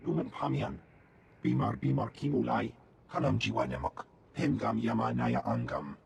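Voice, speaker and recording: An actor playing a gruff, gravelly alien officer who speaks an invented tongue in short clipped bursts for a video game. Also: male voice, medium pitch, big pitch swings, normal speed, background noise. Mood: urgent, gruff.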